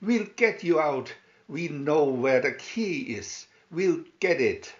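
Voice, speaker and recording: A man's voice: a little high, lively intonation, slow, muffled recording. A man voicing small, loyal mice who speak eagerly and reassuringly in a storybook fairy tale.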